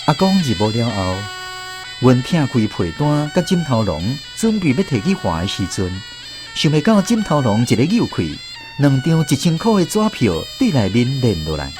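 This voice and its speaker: A man's voice: medium pitch, wildly swooping pitch, normal speed, over music. A man with a Taiwanese accent playing a grown grandson who narrates memories of his grandfather in a reflective, tender radio drama style. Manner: storytelling, reflective. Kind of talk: scripted first-person radio drama narration.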